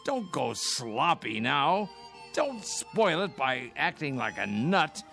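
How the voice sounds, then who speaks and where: An actor playing a worried, stubbornly practical old man in a radio drama, with a creaky voice and a fretful, scoffing manner. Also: fairly high pitch, very animated, takes it slowly, pushed and bright, soft background music, full character voice. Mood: alarmed, scolding. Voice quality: creaky, gravelly.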